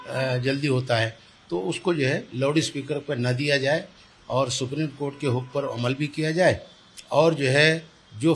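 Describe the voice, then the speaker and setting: An older man answers in a news interview. His pitch is mid-range and he explains things with emphatic repetition. Some room noise sits behind his voice. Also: a bit bright. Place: small indoor office. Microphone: handheld reporter's microphone held close. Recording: clear background room noise.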